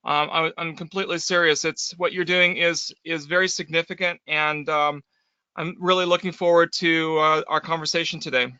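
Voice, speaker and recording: Guy hosting a business webinar, highish crisp voice, dry but kinda lo-fi sound. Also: little pitch movement, normal speed, sharp tone, no room sound. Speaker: host. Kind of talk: webinar interview, scripted introduction then conversation. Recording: slightly dull, band-limited webinar audio.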